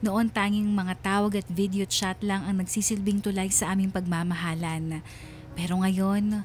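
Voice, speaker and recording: A woman's voice: medium pitch, a little mellow, lightly acted. An actress playing a wistful wife and mother, narrating her story in a reflective, sentimental radio drama style. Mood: tender, wistful. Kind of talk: scripted first-person radio drama narration.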